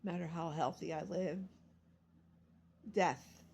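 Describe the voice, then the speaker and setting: A woman's voice: fairly low pitch, fairly even intonation, slow pace, creaky, darkish tone, a little hiss or hum. A woman in her sixties talking about her life in a rambling, confessional vlog, meandering and self-deprecating. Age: 60s. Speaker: vlogger and self-published author.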